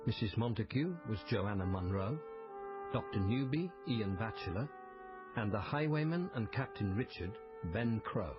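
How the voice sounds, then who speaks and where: A man's voice: fairly low pitch, animated, moderate pace, dull audio, over music. A man working as a radio continuity announcer, reading scripted drama credits.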